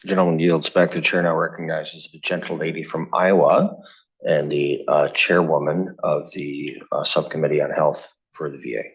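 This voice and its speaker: A man with a very deep, slightly husky voice chairs a hearing. He runs through formal recognitions briskly and by rote. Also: steady tone, low-quality recording. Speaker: committee chair. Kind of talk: formal meeting procedure. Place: hearing room.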